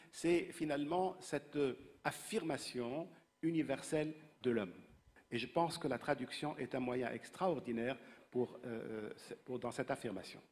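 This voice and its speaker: A man speaking on a discussion panel, measured and academic, with hesitant pauses. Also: fairly high pitch, darkish tone, big-room sound.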